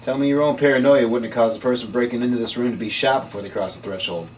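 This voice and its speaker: A man's voice: medium pitch, steady tone, fast talker, lightly acted. A man playing a sardonic, world-weary noir occult investigator with slangy delivery in a script table read. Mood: insistent. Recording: distant mic, noisy room.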